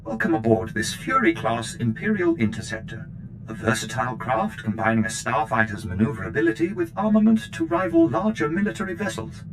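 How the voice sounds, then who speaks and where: Man playing a nervous, servile droid butler, rumbling noise. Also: animated, a little fast, close to an everyday voice. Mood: formal, helpful. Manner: formal, obsequious, apologetic. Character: nervous, servile, fussy male protocol droid. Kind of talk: video game character acting.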